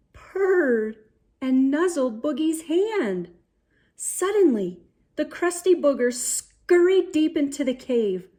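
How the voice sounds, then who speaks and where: Female children's storyteller: high pitch, unhurried pace, expressive read-aloud delivery. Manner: theatrical, deliberate delivery with dramatic gasps and exclamations. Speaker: storyteller, channel host.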